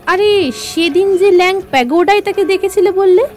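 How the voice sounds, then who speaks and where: An actress playing a firm, watchful young detective heroine in a radio drama, speaking expressively and with authority. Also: female voice, very high voice, normal speed, a little mellow, faint music behind. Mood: probing.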